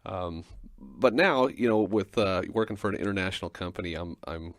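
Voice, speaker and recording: Very deep-voiced man from Arkansas. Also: animated, no echo, studio quality. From Arkansas, USA. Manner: halting, stumbles over his words. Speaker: guest. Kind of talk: casual panel chat.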